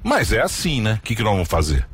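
A man's voice: fairly low pitch, wildly swooping pitch, fast talker, breathy edge, soft background music. A man hosting a casual political panel, talking emphatically and repeating his points.

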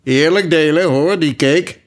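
A man voicing a big, sleepy, gruff lion in a storybook, full-voiced, languid and snappish. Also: sing-song, takes it slowly, exaggerated voice. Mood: weary, commanding. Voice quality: full, booming.

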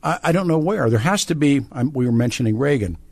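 A man's voice: medium pitch, lively intonation, fast, gravelly, a little mellow, no echo. A man with an American accent hosting a conversational radio call-in show, often repeating and restarting his phrases. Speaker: radio talk show host. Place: radio studio.

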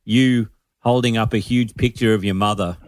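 A man's voice: lowish voice, steady tone, moderate pace, no echo. A man co-hosting a comedy podcast over a video call, rambling and losing his train of thought. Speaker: podcast co-host.

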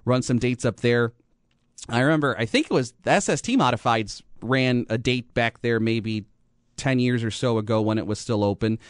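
An American man hosting a motorsport radio show, talking informally and haltingly, often rephrasing mid-sentence. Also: mid-range voice, clean tone, dry recording, clean studio sound. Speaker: radio host. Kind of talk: motorsport talk radio monologue.

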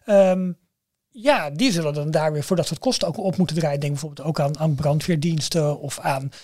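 Higher-voiced man explaining things emphatically. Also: moderate pace, dark tone, no echo, studio quality. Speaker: podcast co-host. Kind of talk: casual explanatory discussion.

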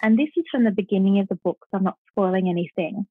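A woman's voice: mid-range voice, fast, breathy edge, no room sound. Australian woman author on a phone line. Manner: thoughtful, with fillers and restarts. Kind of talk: interview and reading aloud.

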